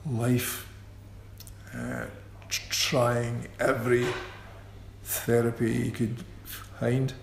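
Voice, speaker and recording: A man's voice: medium pitch, little pitch movement, creaky. A man who stammers, talking in a radio interview with repeated sounds and restarts. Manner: slow and considered. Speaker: interview guest, musician. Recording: echoey room with faint ambient noise.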